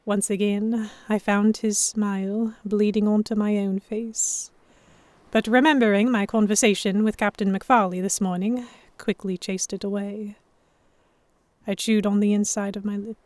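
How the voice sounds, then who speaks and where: A woman playing a proud, sharp-tongued historical heroine who narrates a pirate romance in the first person, wry and expressive. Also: mid-range voice, unhurried, dry recording, natural voice. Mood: reflective narration. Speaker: narrator and lead voice actor.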